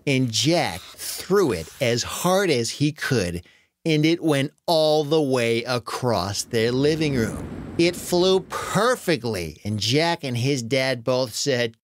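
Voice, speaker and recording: A woman narrating a story for children in an expressive, animated storytelling style. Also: rumbling low, no room sound. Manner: expressive, acts out excited exclamations.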